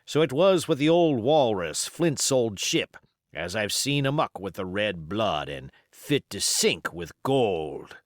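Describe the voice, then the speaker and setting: Man performing a wily pirate cook, fifties, low pitch, swooping intonation, salty, boastful, storybook villain. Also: big pitch swings, slow pace, dry recording, studio quality. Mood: boastful, reminiscing. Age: 50s. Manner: salty, rambling, folksy boasting. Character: wily fifty-year-old one-legged pirate sea cook, boastful and flattering.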